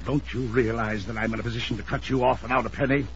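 An American actor playing a wealthy, middle-aged, domineering and hot-tempered uncle in an old-time radio drama, pompous and blustering. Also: male voice, medium pitch, steady tone, fast talker, close to an everyday voice. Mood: angry, blustering. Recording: dull, hissy old radio broadcast.

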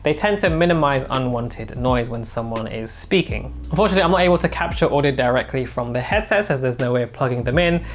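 A man's voice: mid-range voice, animated, fast talker, few pauses, slight background noise. A man, presenting a product review to camera in a small home studio. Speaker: tech reviewer and host. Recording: music bed under the voice. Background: background music.

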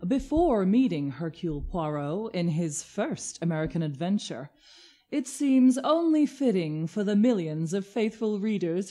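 A woman's voice: fairly low pitch, dark tone. A woman announcing a radio drama in a formal, grandiose old-time scripted style.

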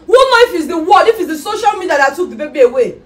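A woman plays a fed-up Nigerian wife in a relationship drama. Her voice is high, and she is stubborn and emphatic, repeating her demands in exasperation. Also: sing-song, very fast, far from the mic. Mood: exasperated. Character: stubborn, fed-up young wife determined to leave. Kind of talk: relationship drama acting with Pidgin expressions.

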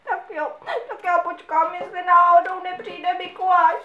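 A woman's voice: extremely high pitch, level tone, dull audio, a little hiss or hum. A woman voicing a naughty little boy who whines and pleads with the other characters. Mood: whiny. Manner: whiny, pleading. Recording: echoey room.

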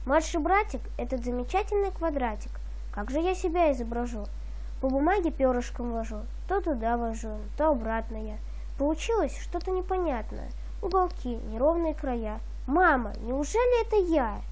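A female voice with a high pitch narrates a children's poem. She recites it as verse. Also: animated, normal speed, darkish tone.

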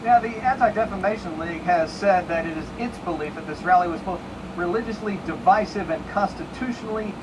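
Middle aged american guy, tv reporter reading his news piece, super noisy recording off a tv set. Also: high-pitched. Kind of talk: scripted news report narration. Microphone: lapel mic. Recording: noisy TV played aloud, recorded off screen.

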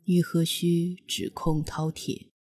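A woman voicing a serene, austere male god in a fantasy audiobook, calm, solemn and moralizing. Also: lowish voice, slow, husky, pitched below the performer's own voice.

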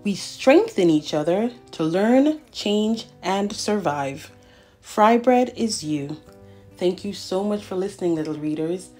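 Expressive woman reading aloud, lively swooping pitch. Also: slow pace. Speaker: children's storytime host reading aloud. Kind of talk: picture-book read-aloud for young children. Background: guitar music.

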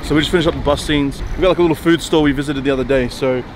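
A man's voice: fairly high pitch, steady tone, rapid-fire, over loud music. A young man chatting casually to camera as a member of a video shoot crew, outdoors. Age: young adult. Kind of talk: casual behind-the-scenes chat. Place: outdoors at roadside. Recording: clear outdoor background noise.

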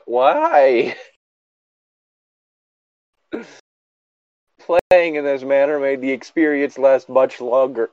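A man's voice: a little high, dry recording, dull audio. A man casually reading a story aloud and breaking off with exasperated, incredulous, sweary commentary.

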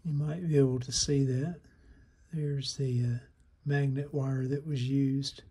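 Man with flat, husky, unhurried delivery. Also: medium pitch. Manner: halting, thinking aloud. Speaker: host of a radio repair channel. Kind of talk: hands-on repair demonstration narration.

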